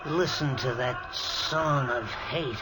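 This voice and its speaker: An actor playing a blunt, matter-of-fact helper in a 1940s radio drama. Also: male voice, mid-range voice, slow pace, music in the background, natural voice. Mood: tense. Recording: old radio recording with clear hiss.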